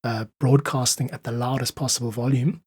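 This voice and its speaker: South african guy being interviewed, bit husky, explains stuff earnestly, really dry close sound. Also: medium pitch, level tone, a little fast, darkish tone, dry recording. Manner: earnest, articulate, uses analogies. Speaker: podcast guest, independent musician.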